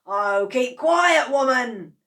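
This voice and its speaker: A woman voicing an excitable, bossy farmer father in a storybook reading. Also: extremely high pitch, takes it slowly, voice pushed up, heavily acted. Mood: excited. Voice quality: loud, bossy.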